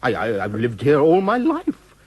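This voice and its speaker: An actor playing a meek, nervous clerk who stammers and pleads in a radio comedy. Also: male voice, mid-range voice, wildly swooping pitch, normal speed, a little mellow, husky, no room sound. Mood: pleading.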